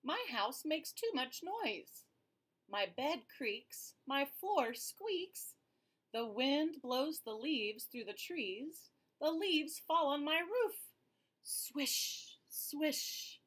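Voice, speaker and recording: A fretful very old man from a children's storybook, grumbling that everything is too noisy. His voice lifts high and loud as he complains, put on by a woman reading aloud. The reading moves slowly. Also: very animated, exaggerated voice.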